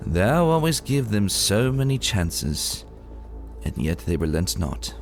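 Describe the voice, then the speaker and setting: A man's voice: on the low side, very animated, slow, soft background music, exaggerated voice. Man as weary royal pony sister; formal, archaic, dry, fantasy audio story. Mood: dry concern. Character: Luna, haughty pony princess, dry, weary, archaic speech. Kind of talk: dramatic fan-fiction reading.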